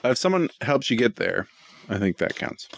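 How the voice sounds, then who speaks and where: A man's voice: medium pitch, sing-song, moderate pace, creaky, a little hiss or hum. A man co-hosting a podcast with casual, joking banter and an irreverent, profane way of talking. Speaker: podcast co-host reading listener questions.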